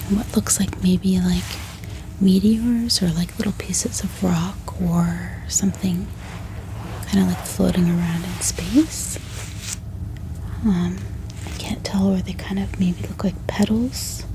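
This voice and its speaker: A woman speaking in a soft, breathy whisper, gently showing items in an ASMR haul and sometimes repeating phrases slowly. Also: lowish voice, fairly even intonation, noisy background. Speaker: host of a bookish ASMR channel.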